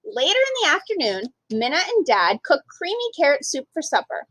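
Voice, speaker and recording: A woman reading a children's story aloud as an expressive storytime narrator. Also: sits high, normal speed, dry recording, low-quality recording. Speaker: storytime reader.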